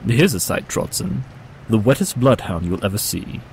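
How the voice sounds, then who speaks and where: Audio drama detective pony, male actor, shrewd and dry-witted, clipped, gravelly, rain noise behind. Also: on the low side, very animated, rough recording. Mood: wry. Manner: clipped, wry, deliberate.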